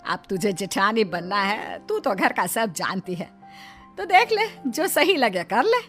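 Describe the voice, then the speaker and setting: A woman's voice: mid-range voice, big pitch swings, unhurried, music in the background. A woman voicing an older mother-in-law in a cartoon, talking in a fretful, chatty way. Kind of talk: cartoon voice acting.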